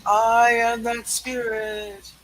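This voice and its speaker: An otherworldly Christmas spirit in a radio play, acted out by a reader. It proclaims solemnly and extremely slowly, sounding distant over faint noise. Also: mid-range voice, flat delivery, a bit bright. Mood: solemn, eerie. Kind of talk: comic radio play reading.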